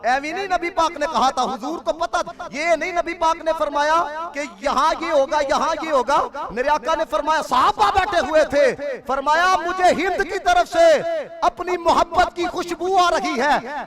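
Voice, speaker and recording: A man with a Pakistani Punjabi accent preaching an impassioned Islamic sermon, exhorting his listeners with rhetorical questions. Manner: swings from loud, high-pitched declaiming to low, quiet asides.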